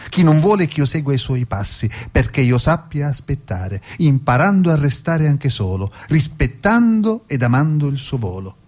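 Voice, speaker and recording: A man's voice: fairly high pitch, very animated, moderate pace, few pauses, a little mellow. A man reading his own poem as a guest, earnest and incantatory in delivery. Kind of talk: poetry recitation.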